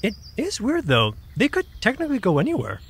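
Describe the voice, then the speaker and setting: A man voicing an ancient, dry and sceptical mythical creature in an audio drama, husky and theatrical, explaining things in a measured way. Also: high voice, wildly swooping pitch, a bit bright, exaggerated voice. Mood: musing.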